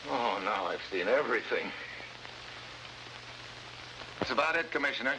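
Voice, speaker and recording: A man's voice: medium pitch, lively intonation, moderate pace, close to an everyday voice. An American man playing a tough, wisecracking special agent in a 1950s adventure drama, with a sardonic, slangy delivery. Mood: wry, sardonic. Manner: hard-boiled, sardonic, slangy. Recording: faintly noisy vintage 1950s TV soundtrack.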